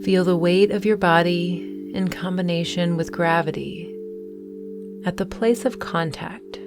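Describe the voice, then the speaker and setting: Female meditation guide, soothing and unhurried, very slow delivery, lowish pitch, music bed underneath. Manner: gentle, soothing, deliberate phrasing with long pauses.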